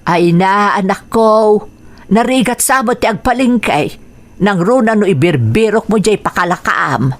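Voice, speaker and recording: A woman with an Ilocano accent playing a caring, protective older grandmother in a radio drama, with drawn-out motherly interjections. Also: mid-range voice, expressive. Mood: gently chiding. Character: older grandmother, caring and defensive of her daughter.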